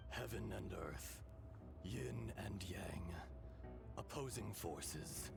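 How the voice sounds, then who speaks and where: A man voicing an ancient dragon spirit in a human body for a video game, grave, formal and deliberate. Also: fairly low pitch, creaky, exaggerated voice. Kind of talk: English video game voice acting.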